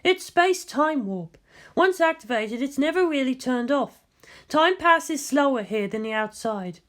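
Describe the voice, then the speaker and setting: A narrator voicing a cool, matter-of-fact female scientist in an audiobook story, explaining in a measured way. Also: high-pitched, expressive, a bit bright, voice pushed up. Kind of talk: dramatic audiobook character voice.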